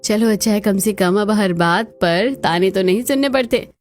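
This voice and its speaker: A woman playing put-upon, chatty daughters-in-law in a cartoon story, with colloquial, expressive delivery. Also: mid-range voice, moderate pace, lightly acted. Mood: chatty, content.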